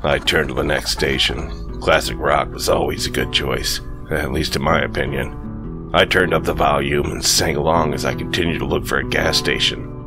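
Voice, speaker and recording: A man narrating a first-person horror story in a slow, brooding scripted read. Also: rumbling low, fairly even intonation, vocal fry, music in the background.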